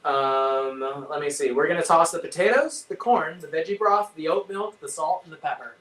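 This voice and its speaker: Roomy, higher-pitched man teaching cooking casually. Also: normal speed, fairly bright tone. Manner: informal, thinking aloud. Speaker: chef leading a cook-along. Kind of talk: casual live cooking instruction. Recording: roomy, distant mic, faint noise.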